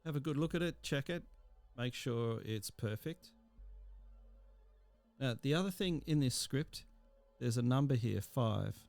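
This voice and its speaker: A man giving an informal software tutorial as an instructor, explaining step by step in a halting way with pauses mid-sentence. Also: mid-range voice, expressive, dry recording.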